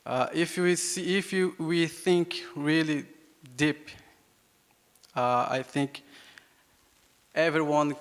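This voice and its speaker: Slow, halting Brazilian man in a hall. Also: mid-range voice. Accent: Brazilian Portuguese. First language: Yawanawa. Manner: halting, repeats words, laughs often. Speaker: invited speaker. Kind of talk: public talk to an audience. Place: hall with an audience. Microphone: handheld microphone. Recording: hall reverb.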